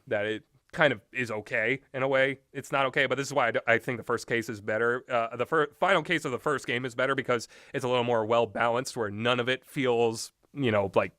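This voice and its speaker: A man's voice: medium pitch, fairly bright tone, no room sound, studio quality. A man giving casual, rambling and opinionated commentary on video games, often hesitating as he talks. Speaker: video game reviewer.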